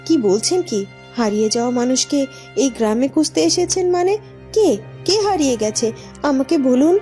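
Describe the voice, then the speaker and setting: A woman's voice: high-pitched, animated, fairly quick, dark tone, faint music behind. A woman voicing a curious, helpful young village woman in cartoon dialogue, sounding concerned. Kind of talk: cartoon dubbing, fairy-tale dialogue.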